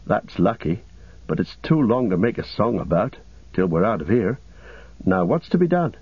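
Loyal hobbit manservant, played by a male reader, humble, homely, practical, husky, in a dull storybook recording. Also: on the low side, very animated, moderate pace, no room sound, heavily acted. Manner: deferential, homely rustic phrasing.

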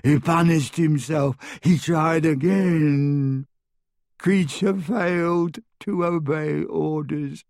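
A man voicing a frightened old male elf servant in an audiobook, abject and devoted, speaking haltingly through sobs. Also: on the high side, darkish tone, no room sound, studio quality, pitched above the performer's own voice. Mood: moaning, despairing. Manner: sobbing, halting, stammering, speaks of himself in third person. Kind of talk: audiobook character acting.

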